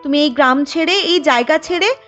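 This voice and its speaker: Woman doing a firm young village girl, bossy scolding, highish voice, muffled lo-fi audio. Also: fairly quick, a bit bright, faint music behind. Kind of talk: cartoon voice acting.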